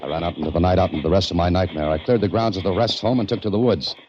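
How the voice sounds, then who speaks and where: An actor playing a tough, wry, framed hero who speaks tersely in a 1940s radio mystery. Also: male voice, sits low, rapid-fire, darkish tone, slight background noise, lightly acted. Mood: tense narrating. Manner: terse, hard-boiled, urgent in dialogue. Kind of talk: 1940s radio mystery acting and first-person narration. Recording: dull vintage 1940s radio recording.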